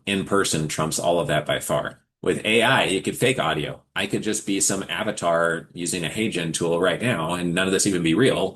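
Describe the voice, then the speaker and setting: Male forum host, deep low register, informal advisory talk, explanatory and conversational. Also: fairly bright tone. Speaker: host of a live forum for investors and founders. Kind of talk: casual advisory webinar, answering audience questions.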